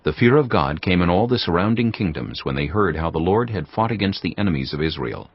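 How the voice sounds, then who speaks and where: Deep-voiced man narrating scripture. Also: lively intonation, husky, no echo. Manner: measured, solemn reading. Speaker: narrator of an audio Bible. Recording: dull lo-fi audio with faint music.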